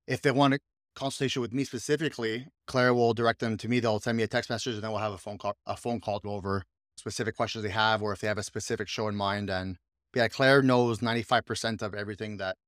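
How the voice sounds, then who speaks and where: A man's voice: lowish voice, fairly even intonation, fast talker, fairly bright tone, no room sound, clean studio sound. A man hosting a casual podcast, talking up his assistant in an enthusiastic, rambling way with frequent restarts. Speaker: podcast host, coach and business owner.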